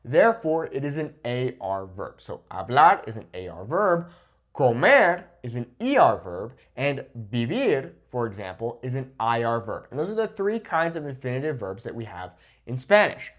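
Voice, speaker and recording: A man's voice: medium pitch, very animated, moderate pace. A man in his thirties giving an instructional language lesson to camera in an emphatic, didactic way, checking in often with the listener. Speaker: Spanish teacher. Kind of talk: instructional lesson to camera, switching between English and Spanish examples. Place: indoors, in front of a whiteboard. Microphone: lapel mic clipped to the shirt.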